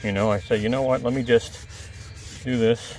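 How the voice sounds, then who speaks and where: A man with a soft, calm voice chatting casually to viewers while cleaning something outdoors on a lawn, filmed on a close-held camera. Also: medium pitch, moderate pace, slight background noise, low-quality recording. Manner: chatty, rambling asides to the viewer. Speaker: video creator. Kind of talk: casual how-to talk while working. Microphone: camera held close, point of view.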